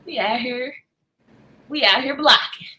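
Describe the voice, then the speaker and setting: A woman's voice: high-pitched, unhurried, pushed and bright. A woman chatting casually as host of a book discussion, playful and slangy in her reactions. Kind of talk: casual book club chat.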